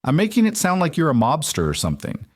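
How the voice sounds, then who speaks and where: A man's voice: fairly high pitch, wildly swooping pitch, quick pace, no echo, studio quality, voice pushed up. A man voicing a goofy, chatty male office neighbor who rambles and cracks jokes. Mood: jokey.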